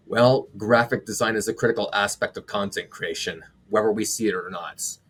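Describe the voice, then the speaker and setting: A man's voice: lowish voice, a little fast, fairly bright tone, breathy edge. A young man in college hosting a casual podcast, with an earnest, announcer-like way of speaking. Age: young adult. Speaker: podcast host.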